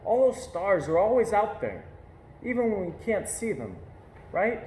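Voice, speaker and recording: A man voicing a curious, sleepless young boy who asks soft, hopeful questions, recorded in an echoey room with a faint hiss. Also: high voice, sing-song, a little slow, pitched above the performer's own voice. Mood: wondering.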